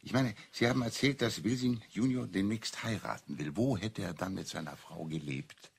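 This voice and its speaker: Dryly logical clergyman in crime radio play, deadpan, deep and creaky. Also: moderate pace. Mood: probing. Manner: deadpan, ironic questioning. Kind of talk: German radio crime drama dialogue.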